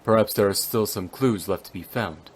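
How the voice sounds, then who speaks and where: A man playing a stern, brooding immortal swordsman in an anime-style game, speaking formally and gravely. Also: fairly low pitch, normal speed. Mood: grave, restrained.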